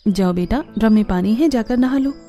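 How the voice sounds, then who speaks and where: A woman's voice: on the high side, fairly quick, dark tone, natural voice. A woman voicing a motherly, bossy elder daughter-in-law in a cartoon family, coaxing and scolding. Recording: music behind the voice.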